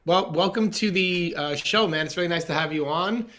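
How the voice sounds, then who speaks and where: Slightly high-voiced rambling male host. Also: moderate pace, fairly bright tone. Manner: friendly, meandering questions full of "like". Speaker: podcast host. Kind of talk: casual interview.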